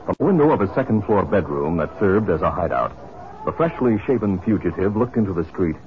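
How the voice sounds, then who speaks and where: A man's voice: low voice, lively intonation, a little fast. A man narrating a 1950s radio western as its announcer, dramatic and scripted. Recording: muffled vintage radio broadcast.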